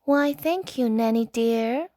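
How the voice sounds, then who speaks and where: A woman voicing a gentle, genteel dragon from a storybook, with sweet and mannered speech. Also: a little high, slow pace, dry recording, clean studio sound. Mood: sweetly polite. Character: dainty, polite, gentle young dragon longing for princesshood. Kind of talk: storybook character voice.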